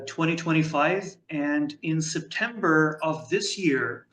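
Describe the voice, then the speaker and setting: Guy on a video panel, bit high-pitched, echoey room, stammers into long winding sentences. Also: a bit bright. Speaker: panel guest. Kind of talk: panel discussion.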